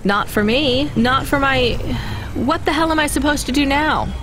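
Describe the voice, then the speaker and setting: A woman's voice: sits high, normal speed, background noise, close to an everyday voice. A woman playing an anxious, headstrong but kind young delivery driver in a video game. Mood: worried.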